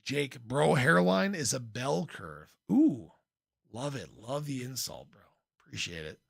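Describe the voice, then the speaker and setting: A man hosting a casual livestream in an informal, playful way, with a slightly hoarse voice and frequent throat clearing. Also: mid-range voice, big pitch swings, measured pace, fairly bright tone, dry recording, clean studio sound. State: slightly hoarse, losing his voice. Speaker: livestream host, crypto commentator. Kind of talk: casual livestream chat, reading and answering viewer comments.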